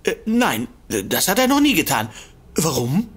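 An actress playing a nervous, obliging office assistant in a comedic audio drama, flustered and hesitant. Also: female voice, low voice, very animated, measured pace, slightly rough, exaggerated voice. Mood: uneasy.

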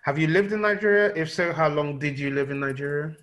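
A man's voice: a little high, fairly quick, a bit bright. A man in his fifties with a London accent, interviewing over a video call with many fillers and restarts. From United Kingdom. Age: 50s. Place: indoor room at home. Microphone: video call webcam.

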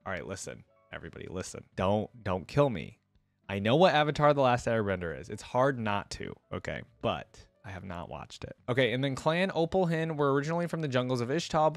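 A man's voice: medium pitch, no room sound. A young adult man giving casual, chatty reaction commentary and reading aloud, close to a desktop microphone. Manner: chatty, informal. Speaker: reaction video host. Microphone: desktop microphone close to the mouth.

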